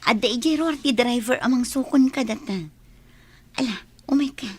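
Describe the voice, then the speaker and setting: A woman's voice: high-pitched, normal speed, a little hiss or hum, natural voice. A woman with an Ilocano Filipino accent playing an attentive wife in a radio drama. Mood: gently coaxing. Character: attentive woman posing as an amnesiac man's wife.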